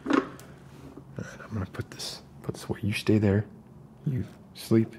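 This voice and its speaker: Low, mellow man in halting ASMR roleplay. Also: fairly even intonation, a little hiss or hum. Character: unstable, friendly loner survivalist in a bunker. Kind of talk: ASMR roleplay, in-character rambling monologue. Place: basement-like room with concrete walls.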